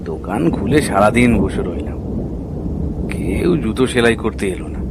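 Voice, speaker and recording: A man's voice: lowish voice, expressive, unhurried, creaky, heavy background noise. A man playing a wryly resigned village cobbler in a cartoon ghost story. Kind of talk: animated horror story dialogue.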